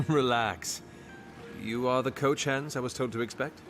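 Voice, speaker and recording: An actor playing a wry, confident gentleman adventurer with a dry, teasing, nonchalant manner in a fantasy video game. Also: medium pitch, measured pace, natural voice. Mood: amused. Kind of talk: dramatic video game voice acting. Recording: music behind the voice.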